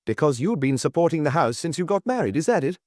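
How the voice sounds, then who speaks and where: A man's voice: on the high side, very animated, fast, darkish tone, no room sound, clean studio sound, pitched above the performer's own voice. A man voicing a solemn examining magistrate in an audiobook, putting short, pointed, formal questions. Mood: pressing.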